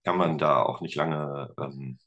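A middle-aged man, a teacher and musician, talking casually as a podcast guest, relaxed and rambling with many fillers. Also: a bass voice, measured pace, highs cut off. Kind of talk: casual interview chat.

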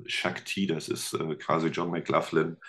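A man with an exceptionally low voice speaks as a podcast guest. He talks casually and hesitantly, pausing on fillers while he searches for words. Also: level tone, a bit bright. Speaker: podcast guest, teacher and guitarist. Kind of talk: casual interview talk.

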